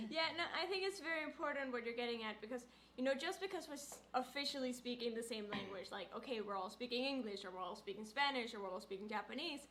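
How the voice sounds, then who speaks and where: A woman's voice: a little high, expressive, quick pace, hardly any pauses, fairly bright tone, a little hiss or hum. A woman joining a podcast conversation, speaking casually and stacking her points one after another. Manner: engaged, building on points in lists. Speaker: podcast guest.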